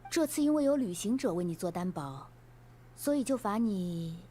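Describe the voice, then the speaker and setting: A woman playing a composed, caring knight commander in an anime video game, speaking in a measured, authoritative but kind way. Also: medium pitch, animated, crowd noise, lightly acted. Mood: kind, firm.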